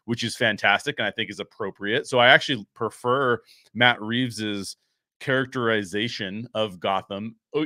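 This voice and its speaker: A man's voice: medium pitch, bright, forceful tone, no room sound. A man hosting a show solo, giving casual commentary in an emphatic way, repeating phrases for stress. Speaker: show host and story writer.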